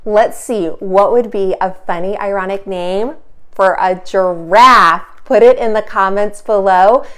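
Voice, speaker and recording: A woman teacher hosting a children's storytime, reading aloud expressively with dramatic pauses and emphasis. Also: mid-range voice, smooth. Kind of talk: animated children's read-aloud.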